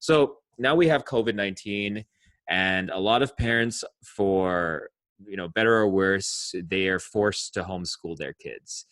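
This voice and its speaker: A man's voice: low-pitched, unhurried, a bit bright, no room sound. A man hosting an interview, musing aloud and building up long questions with some restarts. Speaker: podcast host.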